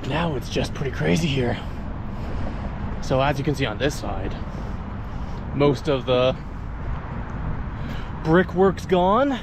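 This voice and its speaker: A man's voice: medium pitch, expressive, takes it slowly, pauses often. A man in his thirties from Canada filming a casual vlog outdoors, talking chattily, cursing often and mocking himself. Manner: chatty, swears a lot, self-mocking. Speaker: urban explorer vlogger. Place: outdoors by a busy road, windy and rainy. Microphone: handheld camera at arm's length. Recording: loud outdoor noise with traffic.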